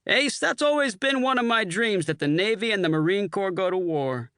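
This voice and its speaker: A man voicing a brash, boastful, hard-drinking Marine colonel with a loud, swaggering delivery. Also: high-pitched, animated, moderate pace, clear, resonant tone, no echo, clean studio sound, voice pushed up. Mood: lecturing.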